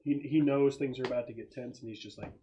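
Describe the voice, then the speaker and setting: A man's voice: medium pitch, fairly even intonation, quick pace, dark tone, lo-fi sound. Man running a casual tabletop game, faint noise. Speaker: game master.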